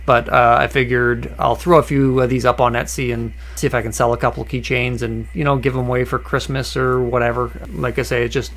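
A man explaining a woodworking project in a relaxed, conversational voice-over, with frequent "uh" fillers and small restarts. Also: medium pitch, brisk, hardly any pauses. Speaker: woodworking channel host. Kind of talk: voice-over narration of a workshop project.

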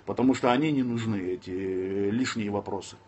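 A man's voice: lowish voice, fairly quick, slightly rough, lo-fi sound. A man in his thirties from Dagestan, answering viewers' questions on a casual livestream from his phone, indoors. He is blunt and curses freely. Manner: blunt, curses and snaps at trolls between calm advice. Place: indoors, sitting in a room. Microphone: phone camera close up.

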